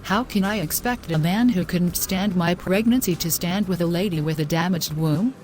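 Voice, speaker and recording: A woman's voice: fairly low pitch, normal speed, darkish tone, slight background noise, natural voice. A woman playing a distraught, self-reproaching young woman in a scripted moral-story drama. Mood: self-loathing, anguished.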